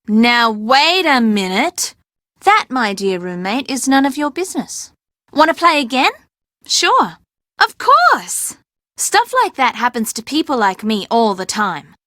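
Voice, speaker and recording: A chatty young woman for a video game, a playful fibber with sass and brains. Her voice sits fairly high and swings widely in pitch with emphatic drama. The sound is very dry, with no room around it. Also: pushed and bright, dry recording, heavily acted. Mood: indignant, then breezy. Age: young adult. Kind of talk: video game character dialogue.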